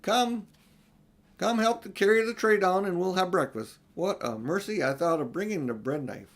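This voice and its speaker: A man voicing a bossy, practical female beaver housewife from a storybook, with a brisk, homely, fussing manner. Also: high-pitched, voice pushed up. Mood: bustling scolding.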